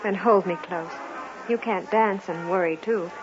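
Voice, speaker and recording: A woman's voice: fairly low pitch, unhurried, close to an everyday voice. A woman acting in an old-time radio drama as an affectionate, anxious young sweetheart. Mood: tender, teasing. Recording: muffled vintage radio recording, music behind.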